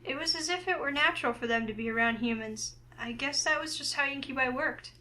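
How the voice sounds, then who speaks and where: A woman voicing a curious, introspective young heroine, reading her thoughts and lines aloud from a visual novel in an earnest, expressive way. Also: high-pitched, fairly quick, rough recording. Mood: pensive.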